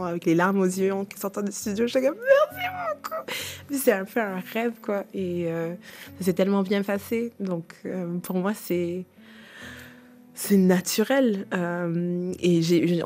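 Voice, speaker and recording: A woman's voice: lowish voice, darkish tone. A woman singer guest chatting on a radio show, enthusiastic and tumbling over her words. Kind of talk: casual radio interview.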